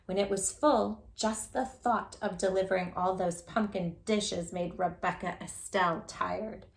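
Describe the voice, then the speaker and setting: A woman's voice: medium pitch, a little slow, gravelly, poor audio. A woman hosting storytime, reading a picture book aloud expressively and chatting playfully with young listeners. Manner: playful, animated, addressing children directly. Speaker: children's storytime reader and host.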